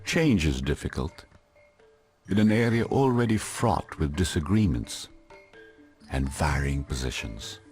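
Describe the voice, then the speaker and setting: A man giving measured, deliberate scripted documentary narration. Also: rumbling low, animated. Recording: narration over clear background music. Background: instrumental music.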